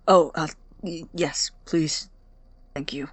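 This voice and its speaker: A man acting in an audio drama as an awkward, earnest, apologetic college student who stammers and speaks softly. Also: on the high side, fairly even intonation, slow pace, dry recording. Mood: awkwardly curious. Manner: hesitant, stammering, soft and breathy when sincere.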